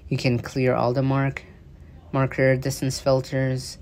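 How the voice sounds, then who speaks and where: Man, gravelly creaky voice, casual gaming commentary, loose and chatty. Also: medium pitch, completely flat delivery, a little slow, a little mellow, a little hiss or hum. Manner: conversational, loose with fillers and restarts. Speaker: gaming news video creator. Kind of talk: casual explanatory commentary over gameplay footage.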